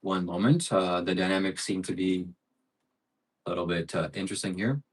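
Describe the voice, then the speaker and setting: Low-voiced man hosting a livestream. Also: steady tone, moderate pace, breathy edge. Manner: reads out donations and piles up adjectives. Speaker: livestream host. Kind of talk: casual livestream chat.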